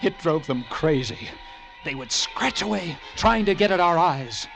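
A tense male lighthouse keeper tells his horror tale vividly, in a higher-pitched voice that swings widely. It is a vintage radio drama recording, with music strong behind the voice. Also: expressive, a little slow, creaky, a bit bright, exaggerated voice. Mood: tense narration. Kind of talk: classic radio drama acting, first-person narration.

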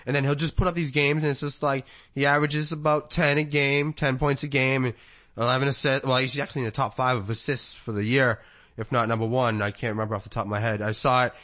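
Man, podcast co-host, rambling sports chatter, mid-pitched voice. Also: little pitch movement, quick pace, a bit bright. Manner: loose and rambling, laughs often. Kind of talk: casual sports chat.

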